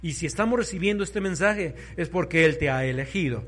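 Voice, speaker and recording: A man preaching a sermon, fervent, swinging between soft and loud, urging listeners to repeat after him. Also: fairly high pitch, lively intonation, fairly quick.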